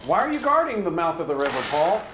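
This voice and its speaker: A high-voiced man plays a tabletop game with extremely animated, swooping intonation. His talk is casual and playful, in a roomy space with crowd sound behind. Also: quick pace, background noise.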